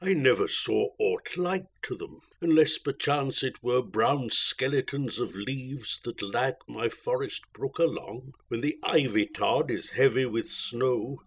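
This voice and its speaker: A man's voice: medium pitch, slight background noise. A man voicing an old holy hermit from a storybook poem, declaiming in archaic speech.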